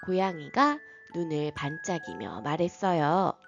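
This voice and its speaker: A woman narrating a soft bedtime folktale gently, in a lilting storyteller's way. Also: sits low, big pitch swings, measured pace. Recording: slightly dull, soft music behind.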